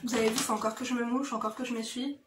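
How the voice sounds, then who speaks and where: A woman's voice: on the high side, fairly even intonation, fast talker, background noise. A woman beauty vlogger chatting casually through her makeup routine, slangy and familiar, speaking straight to her viewers. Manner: familiar, slangy, addresses viewers directly with frequent "hein" tags. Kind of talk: casual chatty get-ready-with-me makeup chat. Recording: roomy, echoey indoor sound.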